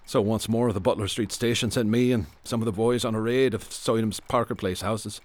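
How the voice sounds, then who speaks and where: A man's voice: fairly low pitch, steady tone, brisk, over music, lightly acted. A man playing a level-headed, bookish detective who tells his grim story in a radio drama, matter-of-fact and erudite. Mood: grave, recounting. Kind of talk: radio drama acting and first-person narration.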